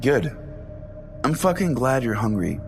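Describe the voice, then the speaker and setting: A man's voice: mid-range voice, very animated, measured pace, gravelly, a little mellow. A man narrating a scripted first-person comic story as a podcast host. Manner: profane. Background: guitar music.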